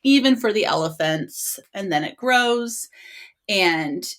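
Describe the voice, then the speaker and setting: A woman co-hosting a casual discussion about books, speaking in an earnest, heartfelt and expressive way. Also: medium pitch, slow. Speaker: book podcast co-host.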